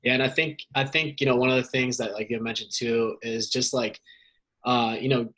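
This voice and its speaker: A man from Ohio co-hosting a podcast, talking in a relaxed, rambling way. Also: medium pitch, moderate pace. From Canton, Ohio. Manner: relaxed, rambling conversational delivery. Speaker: podcast co-host. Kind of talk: casual interview chat.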